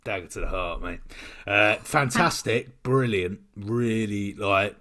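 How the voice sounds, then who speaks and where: A man's voice: on the low side, slow, sharp tone. A British man co-hosting a podcast, chatting casually in a blokey, jokey way. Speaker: podcast co-host. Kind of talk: casual banter.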